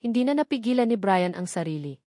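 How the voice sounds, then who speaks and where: A woman's voice: medium pitch, lively intonation, fast, dark tone, dry recording, studio quality. A woman reads a scripted story in an earnest, sentimental, formal way. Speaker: storyteller narrator. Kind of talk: scripted dramatic story narration.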